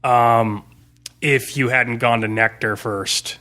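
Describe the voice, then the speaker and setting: A man's voice: mid-range voice, steady tone, takes it slowly, pushed and bright. A man speaking as a game master, talking casually and looking back on a campaign in a podcast discussion. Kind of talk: casual chat, tabletop gaming post-mortem podcast.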